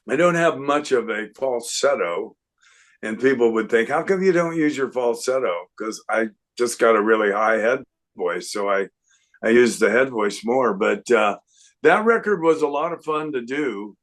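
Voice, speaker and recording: An older man, a guest singer, chatting casually in an unhurried, rambling way with lots of fillers. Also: mid-range voice, lively intonation, a bit bright. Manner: breaks into sung snippets. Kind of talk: casual interview chat.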